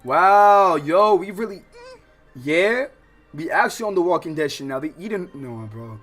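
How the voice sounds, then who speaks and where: Bright-voiced man streaming a game over music. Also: on the high side, moderate pace. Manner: animated, loud exclamatory outbursts. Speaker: streamer playing a visual novel. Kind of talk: livestream let's play, casual slangy reactions mixed with reading game text aloud.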